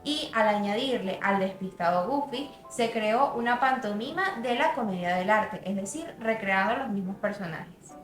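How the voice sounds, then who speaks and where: A woman's voice: medium pitch, expressive, normal speed, few pauses. A young woman reading a scripted documentary narration as a student narrator. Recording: roomy voice-over with music bed.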